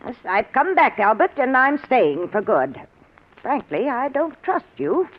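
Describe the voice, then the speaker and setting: A woman's voice: high voice, very animated, measured pace, heavily acted. Domineering older mother-in-law, muffled vintage radio. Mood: suspicious, stubborn. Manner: accusing, imperious, self-righteous. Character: domineering, suspicious older mother-in-law, possessive of her daughter. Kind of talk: 1940s radio acting. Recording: dull vintage 1940s radio recording.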